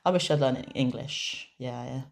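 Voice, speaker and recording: A woman with a strikingly deep voice gives interpreted interview answers in the first person. She speaks in a measured way, pausing often and restarting her phrases. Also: gravelly. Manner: measured, with frequent pauses and restarts.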